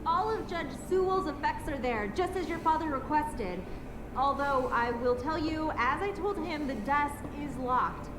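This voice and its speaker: A woman's voice: sits high, animated, a little slow, reverberant, background noise. An actress voicing a courteous, guarded woman who keeps her distance in a mystery game. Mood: polite. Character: polite wary woman caretaker of a house. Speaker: game character. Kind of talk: voiced game dialogue.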